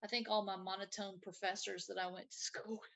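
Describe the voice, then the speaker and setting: Female live show host, bright tone, mid pitch, informal and a bit rambling. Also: little pitch movement, a little fast, vocal fry. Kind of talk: casual chat, reading out viewer comments.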